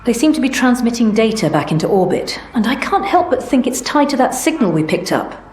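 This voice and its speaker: An actress playing an earnest, inquisitive researcher who gives missions in a video game, explaining things in a measured way. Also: female voice, on the high side, big pitch swings, a little mellow. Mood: curious, earnest. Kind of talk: video game character dialogue. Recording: roomy, faint music behind.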